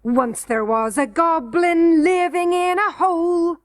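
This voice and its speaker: A woman voicing a bold young male miner in a storybook reading, chanting a loud, taunting singsong. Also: very high-pitched, takes it slowly, no echo, clean studio sound, pitched above the performer's own voice.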